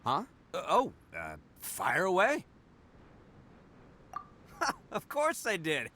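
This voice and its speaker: A man's voice: sits high, takes it slowly, a little hiss or hum, full character voice. An American-accented actor voicing a brash, cheerful young male oni hero in an English video game dub, cocky and boisterous. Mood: upbeat, cocky. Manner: cocky, boisterous, hesitant interjections when flattered.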